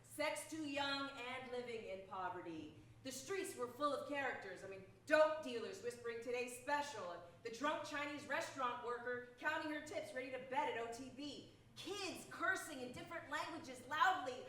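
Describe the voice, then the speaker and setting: A woman's voice: high voice, moderate pace, few pauses, fairly bright tone, a little hiss or hum. A woman from New York City telling a vivid, emphatic stage monologue. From Lower East Side, New York City. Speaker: stage performer. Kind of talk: scripted theatre monologue. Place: theatre stage. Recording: roomy echo from far off.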